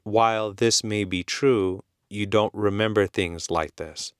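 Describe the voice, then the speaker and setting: A man with a Californian accent, explaining things slowly and in plain words as an English teacher, repeating his key points. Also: fairly low pitch, fairly even intonation, smooth, no echo, studio quality. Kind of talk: slow, simple explanation for learners.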